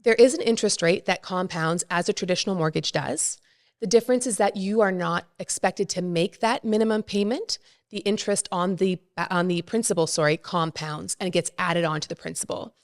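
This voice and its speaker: Woman guest expert, low pitch, calm explanatory podcast talk. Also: brisk. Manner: explains plainly, with frequent fillers. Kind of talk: casual podcast interview.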